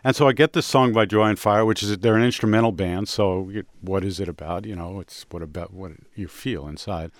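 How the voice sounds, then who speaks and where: Low-voiced man hosting a music show. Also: fairly quick, hardly any pauses, dry recording. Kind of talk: casual chat introducing songs.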